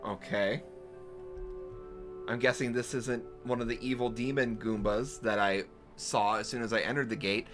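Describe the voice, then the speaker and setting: A man giving casual playthrough commentary, incredulous and joking, often halting as he types. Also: mid-range voice, fairly even intonation, fairly bright tone, soft background music. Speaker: streamer playing an AI storybook game.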